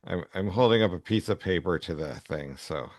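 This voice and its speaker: A man co-hosting a casual chat, speaking haltingly as he mulls things over. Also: low-pitched, animated, no echo, studio quality.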